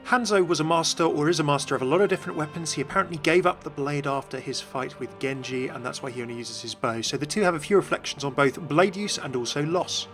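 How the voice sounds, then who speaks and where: A man's voice: on the high side, fast talker, few pauses, fairly bright tone, soft background music. A man hosting a gaming video with scripted, explanatory narration. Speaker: video host.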